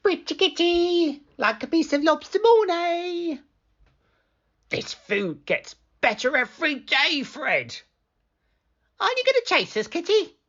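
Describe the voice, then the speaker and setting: A cheeky scavenging gull from a picture book. The squawky voice sits very high and swoops about, brash and greedy, with a sharp edge. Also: female voice, big pitch swings, a little slow, highs cut off, exaggerated voice. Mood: taunting.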